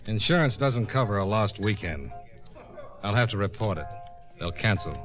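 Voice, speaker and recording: An American actor playing a sardonic, hard-boiled insurance investigator in 1950s radio noir style, clipped, dry and cynical. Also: male voice, on the low side, lively intonation, normal speed, over music, lightly acted. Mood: skeptical, cool. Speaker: lead actor and narrator in a radio detective drama. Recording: muffled vintage radio recording.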